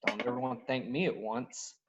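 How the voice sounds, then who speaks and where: A man's voice: medium pitch, normal speed, background noise, close to an everyday voice. A man playing a cocky, wry space smuggler pilot, brash and sardonic, in a scripted reading. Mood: smug. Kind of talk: scripted table read acting.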